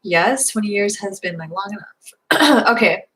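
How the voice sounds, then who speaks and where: A young woman in her twenties chatting casually through viewer questions, rambling with lots of fillers, her voice slightly hoarse. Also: mid-range voice, lively intonation, measured pace, a bit bright. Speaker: vlogger answering viewer questions. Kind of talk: casual live Q&A chat.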